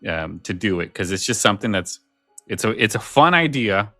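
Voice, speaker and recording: Male co-host, crisp bright tone, dry recording with faint music. Relaxed, conversational, feels his way through sentences. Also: fairly low pitch, normal speed, no room sound. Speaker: podcast co-host. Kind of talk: casual chat.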